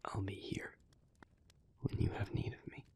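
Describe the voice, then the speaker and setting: A man playing an earnest, analytical demon in an intimate audio roleplay, speaking in a hushed, breathy, measured and deliberate way. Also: whispered, lightly acted. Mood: pleading, tender. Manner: measured, deliberate, halting, formal wording. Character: earnest, analytical male demon, gently devoted and restrained.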